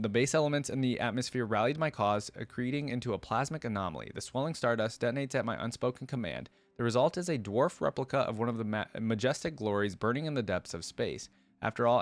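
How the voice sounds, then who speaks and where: A man reading a story aloud and breaking into casual, expressive, slangy commentary as a reaction host. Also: medium pitch, no room sound.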